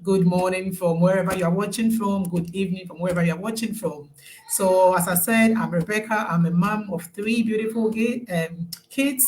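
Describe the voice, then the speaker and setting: A woman's voice: medium pitch, normal speed, a little mellow, slight background noise. Chatty woman in her thirties. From Ghana. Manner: friendly, laughs often, chatty. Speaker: guest, nurse turned online business owner. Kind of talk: casual live-stream interview.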